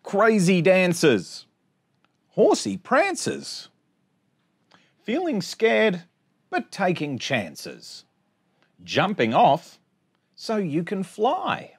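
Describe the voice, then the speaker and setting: A man in his forties hosting storytime, reading a picture book aloud to children in an expressive sing-song way with chatty asides. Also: sits high, very slow, pauses often, slightly rough. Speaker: storytime host. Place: small indoor space among bookshelves.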